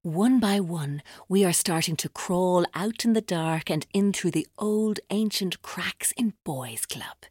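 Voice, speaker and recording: A woman's voice: lowish voice, lively intonation, a little slow, few pauses, a little mellow, slightly rough, no room sound, clean studio sound. A woman playing a tormented, vengeful captor in a radio drama, with an intense, taunting, accusatory delivery. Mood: bitter.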